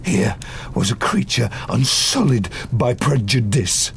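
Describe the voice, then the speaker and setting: A lonely, embittered creature of gothic radio drama. His speech is slow and creaky, heavy with anguish and vengeance. Faint noise sits behind the voice. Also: mid-range voice, big pitch swings, heavily acted. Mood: haunted recollection. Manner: slow, grave, anguished storytelling. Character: wretched, vengeful male man-made monster, lonely and bitter. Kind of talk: gothic audio drama acting.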